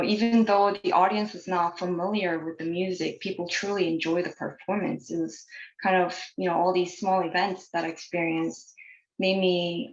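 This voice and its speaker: A young woman from New York, speaking as a panelist and sharing personal remarks in an earnest, measured way over a video call. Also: on the low side, roomy sound, lo-fi sound.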